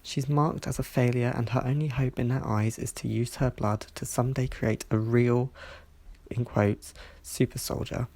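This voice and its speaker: A reviewer reading a scripted review in a measured way, sounding stuffy from hay fever. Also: mid-range voice, fairly even intonation, warm tone, no room sound. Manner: measured, reads from a script with small slips. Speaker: video reviewer.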